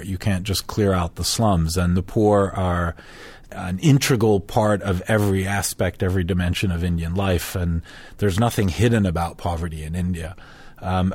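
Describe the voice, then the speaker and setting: Sociologist from Switzerland with a very low voice, explaining at length in a dry, close recording. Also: male voice, no echo. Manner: thoughtful, explanatory, with fillers. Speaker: sociologist guest. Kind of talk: interview answers.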